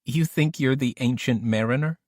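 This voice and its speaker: A sly, smirking, well-read lady, as a male narrator acts her out, teasing yet guarded. She speaks in a raised pitch with a poetic turn of phrase, recorded very dry. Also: normal speed, darkish tone, no echo, lightly acted. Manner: teasing, quoting poetry. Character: sly, smirking, literary wealthy married woman, teasing and guarded. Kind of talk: audiobook character dialogue.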